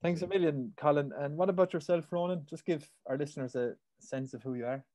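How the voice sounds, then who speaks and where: A man's voice: on the high side, brisk, warm tone. Hesitant man hosting a podcast. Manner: hesitant, repeats words. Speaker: host. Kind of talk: casual interview. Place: home room. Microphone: video call with earbuds.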